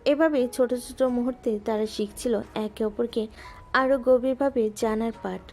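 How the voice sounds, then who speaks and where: A woman gently narrating a scripted romantic audio story. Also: fairly high pitch, steady tone, moderate pace. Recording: music bed underneath.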